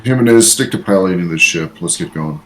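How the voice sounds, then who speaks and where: A commanding, curt crew member of a spaceship, played by a man, with a deep voice and quick delivery. There is chatter behind the voice in this tabletop game. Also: expressive. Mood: stern. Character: curt, authoritative crew member giving orders.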